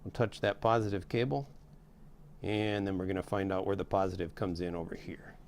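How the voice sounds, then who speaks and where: A man's voice: deep voice, fairly even intonation, brisk, darkish tone, a little hiss or hum. A middle-aged man giving a casual, hands-on tutorial in a folksy, step-by-step way. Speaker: how-to host demonstrating a repair.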